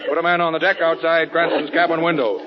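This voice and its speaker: An actor playing a stern, exasperated ship's captain, curt and commanding, in 1930s radio drama style. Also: male voice, fairly high pitch, level tone, fast talker, natural voice. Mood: irritated, stern. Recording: dull 1930s radio recording, loud crowd noise.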